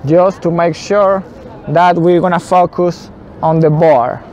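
Man, 30s, non-native English, higher voice, slow and deliberate, tactics talk outdoors, faint street noise. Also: fairly even intonation, darkish tone, lo-fi sound. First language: not English. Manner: slow, deliberate, frequent "okay" fillers. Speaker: football tactics host. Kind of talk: unscripted tactics explainer at a whiteboard. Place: outdoors in a city square.

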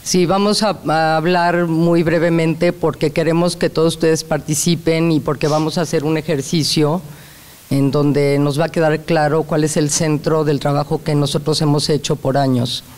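A woman's voice: low-pitched, flat delivery, darkish tone. A woman interpreting a talk line by line, seated at a panel table in a large hall with a handheld mic. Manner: quick, restating lines in brief. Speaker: speaker and interpreter. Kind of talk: consecutive interpreting. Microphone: handheld microphone.

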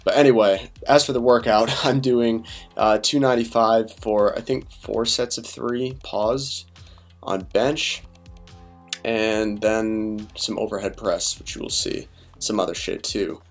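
A young man with a low voice talks softly and keeps it hushed, as if trying not to wake anyone. He rambles casually with plenty of fillers and restarts. Faint music runs under the close voice-over. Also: fairly even intonation. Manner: deliberately quiet and low-key. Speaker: fitness vlogger. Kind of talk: quiet late-night voice-over.

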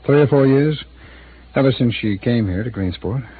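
A man's voice: medium pitch, moderate pace, breathy edge, lightly acted. An actor playing a guarded, evasive male city attorney who hesitates and hints, in a 1950s radio drama. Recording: tinny lo-fi old radio broadcast with hiss.

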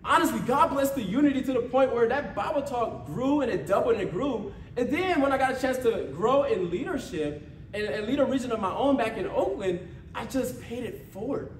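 A man's voice: extremely high pitch, lively intonation, fairly quick. An American man preaching an informal motivational sermon as a church leader, exhorting conversationally, repeating phrases and checking for agreement. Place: church meeting room with responding congregation. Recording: echoey room from far off, faint noise.

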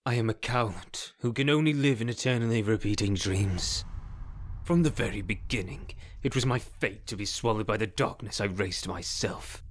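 An actor playing a grandiose, brooding demon prince, solemnly declaiming in a fantasy video game. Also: male voice, fairly low pitch, normal speed, a little hiss or hum, lightly acted. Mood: bleak, resigned.

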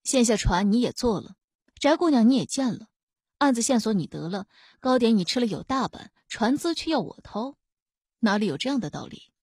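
A woman voicing a cool, haughty young male imperial guard officer, calm and unhurried with dry sarcasm. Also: a little high, husky, no room sound, exaggerated voice. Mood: dry reproach.

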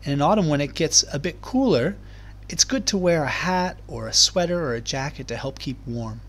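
A man reading aloud to young children as a teacher, explaining things in clear, simple terms. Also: on the high side, lively intonation, moderate pace. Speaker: primary school teacher. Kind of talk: children's read-aloud lesson.

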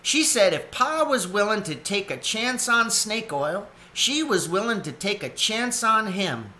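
Young otter boy for a storybook man reading: determined, wistful, slow-paced, forward bright tone. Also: high voice, very animated, slight background noise, voice pushed up, full character voice.

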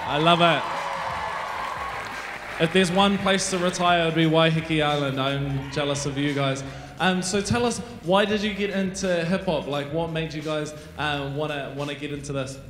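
A New man in his thirties emcees from a big auditorium stage, his voice sitting high as he showers praise on the performers. His handheld mic picks up a long, echoey hall sound with a faint crowd behind him. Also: moderate pace, fairly bright tone. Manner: enthusiastic, effusive praise. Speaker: event MC. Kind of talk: upbeat stage MC interview.

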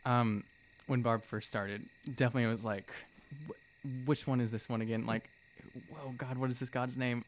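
A man's voice: medium pitch, fairly even intonation, normal speed, a little hiss or hum. A young man chatting casually and improvising as a player in a tabletop game, close on a podcast mic. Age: young adult. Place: small studio room, indoors. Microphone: close podcast microphone on a stand.

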